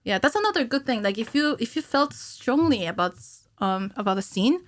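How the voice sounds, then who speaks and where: A woman in her thirties explaining things informally and haltingly as a guest in a casual studio interview. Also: a little high, animated. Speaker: podcast guest, cinematic animator. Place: small podcast studio indoors. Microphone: studio microphone on stand, with headphones.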